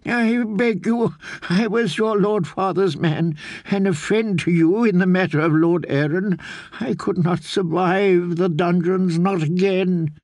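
A man's voice: high voice, slow pace, no echo, studio quality, voice pushed up, heavily acted. A man voicing a frightened elderly male court healer who stammers and pleads in an audiobook. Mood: fearful. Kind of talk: audiobook character voice.

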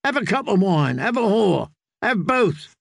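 A cocky young singer in his cups, as a male narrator plays him, pitched high for a man. He is boisterous and teasing, full of bravado, in the manner of a dramatic storybook reading, recorded dry and close. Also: sing-song, measured pace, gravelly, dry recording, heavily acted. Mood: mocking, merry.

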